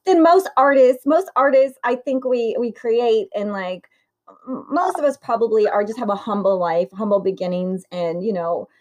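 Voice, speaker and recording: A woman with a somewhat high voice hosting an interview. She talks in a chatty, casual way with quick asides and easy laughter. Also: darkish tone. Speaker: interview host.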